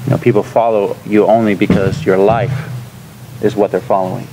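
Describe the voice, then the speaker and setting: Guy in his 30s, pastor teaching a class, lowish voice, chatty and loose, noisy room mic. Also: normal speed. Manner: relaxed, chatty, frequent fillers and laughs. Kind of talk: casual teaching discussion. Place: church classroom, indoors.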